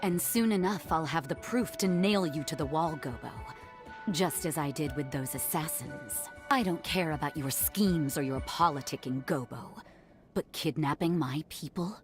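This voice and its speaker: Woman acting a low-voiced, defiant noblewoman. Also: normal speed, music in the background, close to an everyday voice. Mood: cold, threatening. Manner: blunt, commanding, barbed. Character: defiant, sardonic noblewoman ruling a sunken city. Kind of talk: scripted video game cutscene acting.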